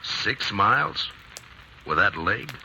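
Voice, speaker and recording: A man playing a steady frontier town marshal in a vintage-style radio drama, speaking calmly and firmly. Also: lowish voice, slow, gravelly, exaggerated voice. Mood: calm resolve. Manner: calm, firm, gentle. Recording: noisy audio.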